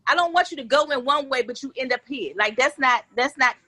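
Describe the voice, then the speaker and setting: A woman in her forties with a fairly high, bright and forceful voice. She talks like an interview guest in a loose, candid chat, blunt and earthy, turning her stories into jokes. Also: brisk, no room sound. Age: 40s. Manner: blunt, earthy slang, animated storytelling. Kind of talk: candid, joking casual chat.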